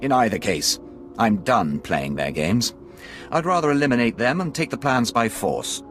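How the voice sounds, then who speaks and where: An actor playing a curt, smug and impatient Imperial contact in a video game cutscene. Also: male voice, mid-range voice, animated, moderate pace, a bit bright, faint music behind, close to an everyday voice. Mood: impatient, scheming.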